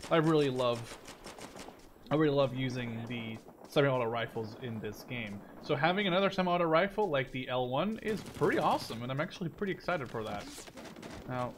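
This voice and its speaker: A man's voice: mid-range voice, expressive, brisk, a little hiss or hum. A man giving casual, rambling gameplay commentary, informal and given to mild swearing. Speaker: gamer and video host.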